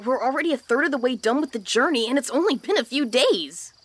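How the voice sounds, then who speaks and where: A woman's voice: high-pitched, lively intonation, fast talker, fairly bright tone, breathy edge, exaggerated voice. A woman voicing a small, cheeky newborn plant boy with a blunt, exasperated manner in a fantasy comedy audio drama. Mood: frank.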